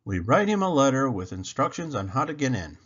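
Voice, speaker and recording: A man's voice: mid-range voice, big pitch swings, a little fast, fairly bright tone, breathy edge, dull audio. A man voicing a spirited, skeptical young girl in a children's storybook. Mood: scheming.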